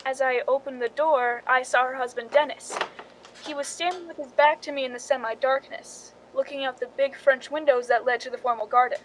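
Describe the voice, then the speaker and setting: A woman acts a scheming young stand-in from a suspense radio play. The voice is high and the delivery dry and knowing, like a confession in a noir tale. A light rumbling noise sits under it. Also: steady tone, fairly quick, natural voice. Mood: wry, reflective. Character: cool, calculating young Hollywood stand-in narrating her own story. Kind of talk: 1940s-style suspense radio drama acting. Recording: noisy recording with low rumble.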